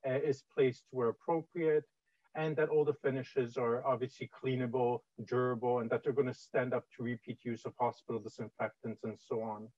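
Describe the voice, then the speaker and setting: A Canadian man in his thirties talks through training slides at a medium pitch, pausing often on "uh" as he explains. His voice comes over a video call, dry and slightly dull, with no room sound around it. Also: level tone, darkish tone, no room sound. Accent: Canadian English. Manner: many "uh" fillers, conversational. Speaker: webinar presenter on infection prevention.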